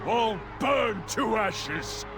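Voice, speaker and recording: A man's voice: high voice, expressive, drawn-out words, exaggerated voice. An actor playing an anguished, enraged male accuser in a video game, impassioned and full of grief. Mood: anguished rage. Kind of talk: dramatic video game cutscene acting. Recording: loud music behind the voice.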